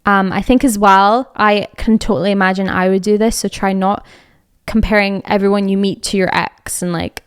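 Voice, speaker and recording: A woman's voice: medium pitch, fairly even intonation, normal speed, smooth, no room sound, studio quality. Chatty Edinburgh-accented young woman. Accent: Scottish, Edinburgh. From Scotland. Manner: chatty, rambling, many fillers and restarts. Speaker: podcast host. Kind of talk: casual advice chat.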